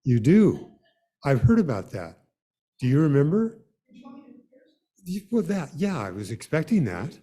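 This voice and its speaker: A man leading a worship service in an informal, unhurried, gently guiding way. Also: a little high, huge pitch swings. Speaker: worship leader.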